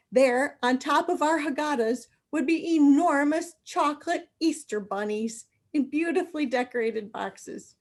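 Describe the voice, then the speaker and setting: A woman reading a prepared personal reminiscence aloud as a participant in a community readers' event. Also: a little high.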